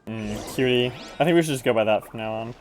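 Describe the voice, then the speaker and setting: Fast-talking man streaming a game. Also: mid-range voice. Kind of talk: casual live game commentary. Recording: clearly noisy, with clicks.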